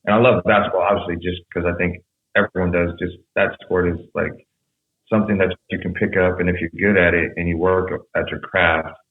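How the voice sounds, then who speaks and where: A young man, a podcast guest, telling his story in a relaxed, rambling way. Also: low-pitched, brisk. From San Jose, California. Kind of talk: casual conversation. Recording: tinny phone-like echoey sound.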